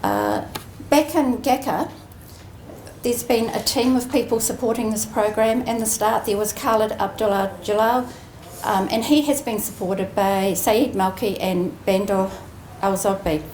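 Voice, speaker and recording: A woman giving a prepared ceremony speech as an executive, measured and earnest with deliberate emphasis. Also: medium pitch, lively intonation, darkish tone. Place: indoor ceremony room. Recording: roomy echoing venue, faint background noise.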